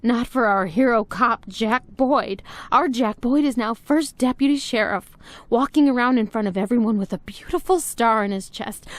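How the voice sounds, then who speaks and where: A woman's voice: a little high, no room sound, close to an everyday voice. A woman playing an exasperated, biting character in a crime game drama, sardonic and reproachful. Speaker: voice actor in game cutscenes. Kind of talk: dramatic scripted dialogue.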